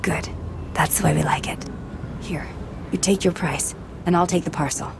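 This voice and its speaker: A shady political schemer in a game, lowish voice, confident and businesslike, noisy background. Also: female voice, fairly even intonation, moderate pace, gravelly. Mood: satisfied, assured. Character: smooth, self-assured shady female political conspirator. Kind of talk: video game character acting.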